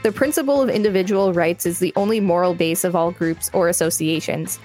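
A woman's voice: fairly low pitch, fairly quick, a little mellow, soft background music. A woman co-hosting a podcast, reading an encyclopedia article aloud with dry, wry quips. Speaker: podcast co-host.

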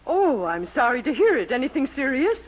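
An actress playing a stern, tart middle-aged mother in a 1940s radio sitcom, crisp and commanding. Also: sits high, very animated, a little fast. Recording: dull old radio broadcast.

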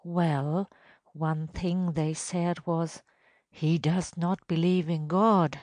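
Woman playing a slow, cautious male village elder. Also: fairly low pitch, steady tone, a little mellow, dry recording. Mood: reluctant.

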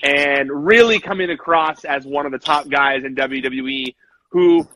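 Bright-voiced man chatting wrestling. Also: on the high side, unhurried, dry recording, rough recording. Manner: animated, acts out quotes in voices. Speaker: podcast co-host. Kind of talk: casual wrestling chat.

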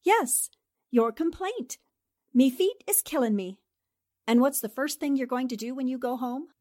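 Actress as a high-voiced, shrewd lady reporter. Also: animated, unhurried, mellow. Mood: confident, triumphant. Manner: assertive, theatrical, explanatory. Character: shrewd, confident female newspaper reporter turned amateur sleuth. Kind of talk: 1940s radio drama acting.

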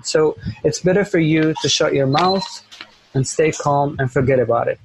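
Gravelly-voiced Syrian man, earnest and measured. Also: a little high, slight background noise, low-quality recording. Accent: Syrian Arabic. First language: Arabic. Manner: earnest, measured, repeats phrases while searching for words. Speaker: interview guest, volunteer teacher. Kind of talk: earnest conversational interview.

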